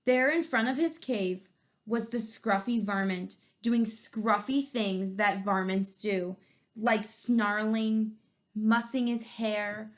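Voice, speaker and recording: A woman co-reading a children's story, narrating in an expressive, measured way. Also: medium pitch. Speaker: co-reader of the story.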